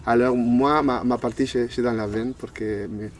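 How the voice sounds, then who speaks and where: A man's voice: mid-range voice, fairly even intonation, moderate pace. Hesitant Argentine man speaking a second language. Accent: Argentine Spanish. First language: Spanish. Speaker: interview guest. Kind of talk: casual spoken interview.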